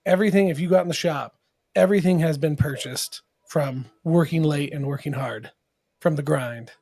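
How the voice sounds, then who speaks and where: A man's voice: a little high, moderate pace, darkish tone, no echo. A man as podcast guest and sponsor, talking casually with enthusiastic, rambling stories. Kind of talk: casual chat.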